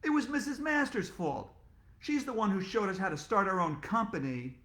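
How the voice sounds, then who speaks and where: A man doing Arlo, an excited schoolboy. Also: high-pitched, fairly quick, voice pushed up. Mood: defensive. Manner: excited, shouting. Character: young schoolboy narrator Arlo. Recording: roomy echo.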